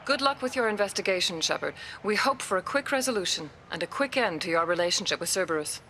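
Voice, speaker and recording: A woman's voice: mid-range voice, animated, fast, bright, forceful tone, a little hiss or hum, rough recording. An actress playing a composed, diplomatic female alien councilor in a video game, speaking formally. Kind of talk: video game dialogue acting.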